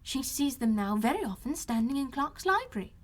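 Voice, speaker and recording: A woman's voice: high voice, sing-song, a little fast, voice pushed up. A woman voicing a silly, flighty teenage daughter in an audiobook, eager and girlish. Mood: gossipy.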